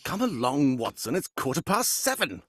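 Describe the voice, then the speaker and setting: A man playing a composed, observant gentleman detective in old-time radio style, speaking with formal, precise courtesy. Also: fairly high pitch, sing-song, normal speed, slightly rough, dry recording, clean studio sound, full character voice. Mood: brisk. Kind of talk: cold-read live old-time radio drama acting.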